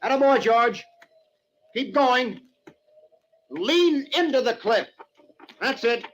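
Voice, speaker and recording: A man's voice: very high voice, expressive, slow, full character voice. An actor playing an earnest, stubborn young mountaineer in an old-time radio drama, dramatically telling of his climb. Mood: urgent, straining. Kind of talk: old-time radio drama acting and first-person narration. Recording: dull, noisy old radio recording.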